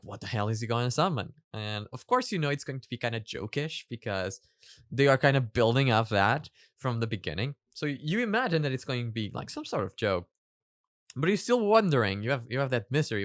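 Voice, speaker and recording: A man with a smooth, fairly low voice gives casual reaction commentary. He talks in halting bursts, repeating words for emphasis, close on the mic with no room sound. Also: fairly bright tone, no echo. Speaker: reaction video host.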